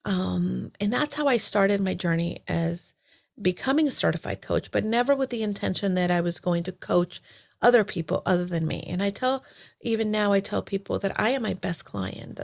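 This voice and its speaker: A woman's voice: mid-range voice, creaky. A woman from El Salvador, a certified life coach, talking reflectively in a casual interview with many fillers and restarts.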